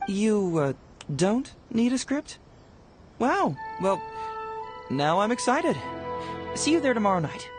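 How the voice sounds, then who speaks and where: Polite event host, lively intonation. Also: male voice, high-pitched, takes it slowly, pauses often, full character voice. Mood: polite, awkward. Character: polite, slightly awkward male event host and play narrator. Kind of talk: anime video game voice acting. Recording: music playing behind the voice.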